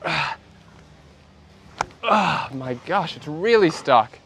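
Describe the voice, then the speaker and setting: A man in his thirties with an Australian accent, chatting casually and excitably as he hosts an outdoor vlog. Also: on the high side, extremely slow, vocal fry. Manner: excitable, exclaiming. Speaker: host of a magnet fishing vlog. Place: outdoors beside a canal. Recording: outdoor noise, traffic and ambience.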